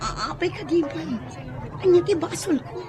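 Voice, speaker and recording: A woman's voice: very high-pitched, expressive, moderate pace, crowd noise. An actress playing a frightened young captive woman in an Ilocano radio drama, pleading and tearful. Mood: scared.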